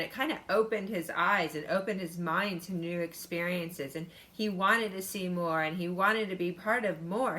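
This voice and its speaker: A woman's voice: lowish voice, pushed and bright. A woman teacher reading a picture book aloud to children and explaining it in an instructive way, addressing them directly.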